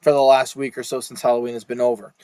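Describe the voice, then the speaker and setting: A young man co-hosting a casual podcast from home, relaxed, with small stammers and frequent laughs. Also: mid-range voice, fast, clean tone, no room sound. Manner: relaxed, stammers on first words, laughs often. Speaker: podcast co-host. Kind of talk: casual chat. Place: home room.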